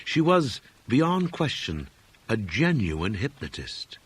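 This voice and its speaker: A man reading gothic horror fiction as an audiobook narrator, in measured, dramatic phrases that often fall away at the end. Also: mid-range voice, sing-song, slightly rough.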